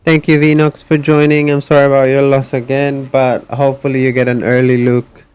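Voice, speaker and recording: A man's voice: medium pitch, flat delivery, a little fast, darkish tone, clear, resonant tone, no echo. A man livestreaming a game, chatting casually to viewers over the gameplay.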